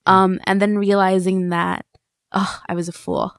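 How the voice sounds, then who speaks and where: A woman's voice: mid-range voice, flat delivery, unhurried, clean tone, no room sound, clean studio sound. A woman interviewing on a podcast, thinking aloud earnestly in casual, reflective discussion.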